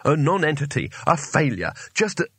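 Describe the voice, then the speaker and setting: A man's voice: fairly high pitch, sing-song, moderate pace, a bit bright, slightly rough, no echo, voice pushed up, heavily acted. A man voicing a nervous, self-pitying, sarcastic failed male wizard in a comic storybook reading. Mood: bitter. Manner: anxious, stammering, sarcastic.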